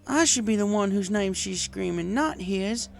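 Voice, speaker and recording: Here is a man acting out a young female farm pony from a cartoon world, nursing a broken heart. The voice sits high and, with a folksy, plain-spoken way of talking. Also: normal speed, vocal fry. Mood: frustrated.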